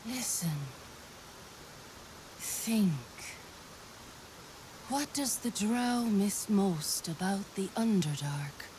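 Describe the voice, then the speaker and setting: A woman playing a mysterious fey creature in a fantasy video game, speaking cryptically and deliberately, often slipping into a whisper. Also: mid-range voice, darkish tone, a little hiss or hum. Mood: cryptic, probing. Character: mysterious female fey creature testing lovers' bond.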